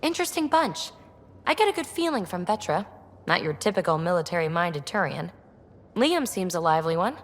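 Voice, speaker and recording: A woman playing a breezy, irreverent and curious young alien researcher in a video game, speaking in an animated way. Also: lowish voice, fairly quick, big-room sound. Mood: playful, curious. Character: lively, cheeky, curious young female alien researcher and rogue explorer.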